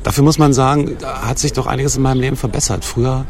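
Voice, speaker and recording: A hoarse man hosting a podcast in a casual, rambling, self-mocking way, with dry humor. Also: mid-range voice, steady tone, quick pace, a crowd in the background. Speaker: podcast host. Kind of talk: casual chatty monologue.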